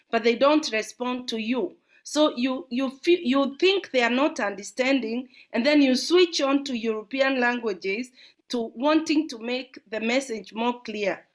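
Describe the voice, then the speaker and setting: Kenyan lady, middle-aged, earnest and encouraging, voice a bit on the high side. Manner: earnest and encouraging, talks in long flowing runs. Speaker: audience member asking a question. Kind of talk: heartfelt spoken comment in an online discussion.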